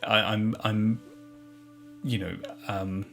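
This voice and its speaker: A man's voice: lowish voice, level tone, slow pace, gravelly, a bit bright. A man who works in audio drama production, talking reflectively in an interview. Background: music.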